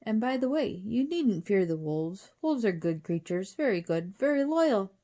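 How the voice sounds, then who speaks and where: Woman as a genteel British little professor, lilting high. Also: unhurried. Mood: polite, welcoming. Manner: calm, polite, quaintly proper. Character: kindly, genteel little old-fashioned male professor.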